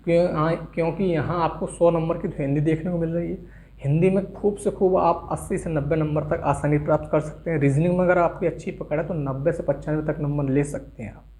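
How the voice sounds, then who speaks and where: A man's voice: a little high, steady tone, a little fast, a little mellow. A man giving an instructional exam briefing straight to his viewers, mixing English terms into his speech. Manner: instructional, addresses viewers directly. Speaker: exam-preparation YouTube host.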